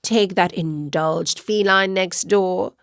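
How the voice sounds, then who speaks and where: A pompous talking tomcat from a children's storybook, performed by a woman. He is vain and forever aggrieved, stretching out his words in a slow, theatrical drawl. The sound is close and dry. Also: a little high, big pitch swings, a bit bright, no echo, exaggerated voice. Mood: indignant, aggrieved. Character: grumbling, vain, indignant male talking cat tutor.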